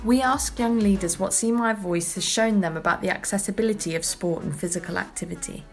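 A woman reading scripted documentary narration in a measured, clear way. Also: mid-range voice. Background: background music.

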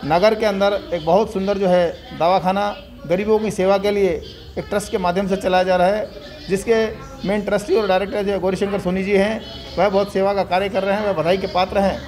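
A man's voice: high-pitched, steady tone, brisk, a little mellow, soft background music. A middle-aged man making a formal public appeal to camera, often padding his phrases with fillers. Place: indoor clinic room in front of banner.

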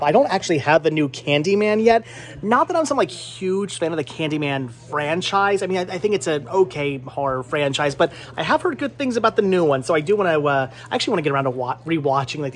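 An American man in his thirties chatting excitably as a vlog host, often repeating his words, recorded on a handheld camera indoors in a shop. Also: fairly high pitch. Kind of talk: casual handheld shopping vlog chat. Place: indoor flea market movie shop. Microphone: handheld camera at arm's length.

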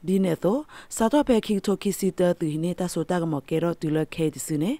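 A woman's voice: on the low side, expressive, a little fast, warm tone, dry recording. A woman reading scripted news for radio, as a presenter in a studio.

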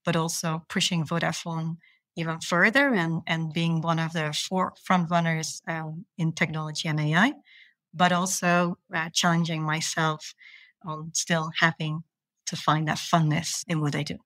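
A woman's voice: low voice, flat delivery, measured pace, a bit bright, no room sound. A woman from Belgium, a procurement manager, answering interview questions with enthusiasm and earnest small restarts.

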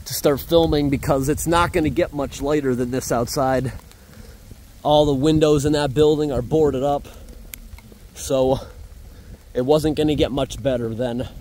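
A man's voice: medium pitch, darkish tone. A man giving casual, off-the-cuff vlog commentary as he explores abandoned buildings. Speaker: urban explorer vlogger. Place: abandoned buildings and outdoors in rain. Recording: noisy on-location camera audio with ambience. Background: rain and ambient noise.

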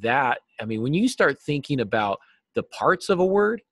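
A man's voice: medium pitch, sing-song, normal speed, a bit bright, no echo. A middle-aged man talking casually as an interview guest on a video call, explaining things with examples and some self-deprecation. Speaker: interview guest, novelist and writing teacher. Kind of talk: casual interview conversation about craft. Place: small cluttered office, indoors. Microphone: video call, seated at a desk.

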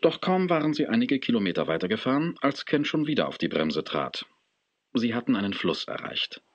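A man narrating a children's radio play as a storyteller, in a measured, expressive manner. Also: mid-range voice, dry recording, muffled recording.

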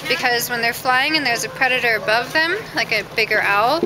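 A woman's voice: a little high, fairly quick. Woman zoo volunteer explaining outdoors. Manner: explains patiently, with fillers and light laughs. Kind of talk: casual outdoor animal talk to visitors. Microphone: far camera. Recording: loud outdoor noise, camera from a distance.

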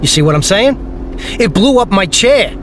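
An actor playing an exasperated, rough-talking gangster driver, blunt and irritable, in an audio drama. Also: male voice, high voice, big pitch swings, normal speed. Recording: faint car noise behind.

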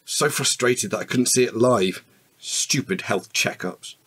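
A tender, protective husband in a radio drama. His voice sits at a middle pitch and is rather husky. He talks in an earnest, natural way. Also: a little slow, a bit bright, faint music behind. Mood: affectionate. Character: devoted, protective husband in a dystopian future.

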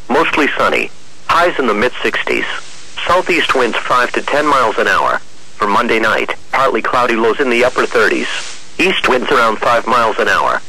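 Synthetic male weather announcer, lively pitch swings, slightly rough tone, formal clipped delivery. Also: poor audio. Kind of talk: automated weather forecast read-out. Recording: weather radio broadcast.

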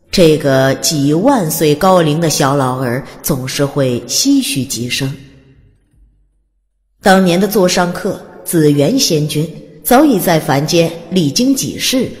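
A woman reads scripted fantasy narration as a dramatic storyteller. Her voice is deep for a woman and richly modulated, set in a long reverb over quiet music. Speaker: audiobook narrator. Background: soft music.

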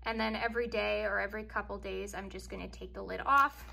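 A woman's voice: medium pitch, fairly even intonation, quick pace, gravelly, bright, forceful tone, breathy edge. A young woman casually narrating her home cooking in a chatty, self-deprecating, upbeat way. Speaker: cooking vlogger. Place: home kitchen.

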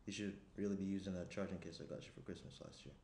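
A man's voice: sits low, steady tone, fast talker, a little mellow. A young man playing a caring, chatty fiancé in a table read. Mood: caring, hurried. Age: young adult. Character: caring, chatty fiancé leaving a phone message. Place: small room at a round table. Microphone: shared desk microphone. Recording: roomy indoor echo.

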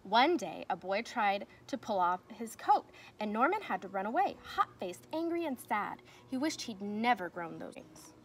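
A young woman reads a children's story in a medium-pitched voice. Her delivery is expressive, with emphatic swells on key words. The recording is made outdoors, with some ambient noise behind her. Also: normal speed, hardly any pauses. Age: young adult. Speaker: teacher reading aloud to pupils. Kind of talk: children's storybook read-aloud. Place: outdoors in a fenced backyard.

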